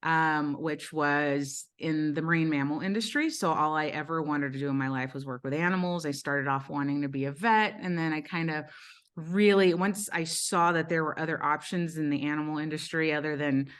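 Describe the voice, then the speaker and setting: A woman's voice: low voice, normal speed, fairly bright tone, clean tone. A woman from Brazil, a podcast guest, telling her life story candidly and earnestly, with frequent ums and restarts.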